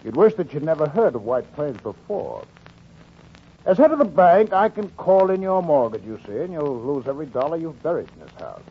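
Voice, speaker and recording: A man's voice: fairly high pitch, sing-song, moderate pace, breathy edge, full character voice. A man playing a threatening, domineering bank president in a blustering, oratorical 1940s radio style. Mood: stern, threatening. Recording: muffled 1944 radio drama recording.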